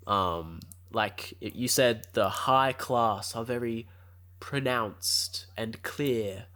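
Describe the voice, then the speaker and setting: A man's voice: medium pitch, slow pace, pushed and bright. A man co-hosting a podcast in a casual, laid-back conversational way. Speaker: podcast co-host.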